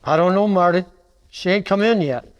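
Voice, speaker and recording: A man playing a slangy, animated war-veteran piano player, an old pal in a 1940s-style detective parody. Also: high voice, normal speed, muffled recording, natural voice. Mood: placating.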